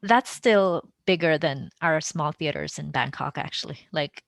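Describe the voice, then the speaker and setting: A woman's voice: sits low, a little slow, no echo. A woman hosting a podcast, asking questions in casual interview chat. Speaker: podcast host.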